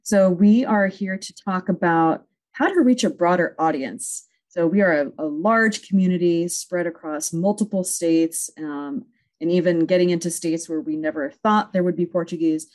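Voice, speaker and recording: A woman's voice: lowish voice, normal speed, a little mellow. A woman hosting a virtual conference panel over a video call, friendly and talking with frequent fillers. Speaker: conference moderator.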